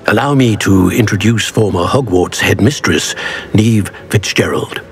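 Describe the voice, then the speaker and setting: An actor playing a stern, cautious senior wizard professor in a fantasy game, speaking formally and deliberately. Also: male voice, fairly low pitch, slight background noise. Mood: formal, instructive. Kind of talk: scripted fantasy game dialogue.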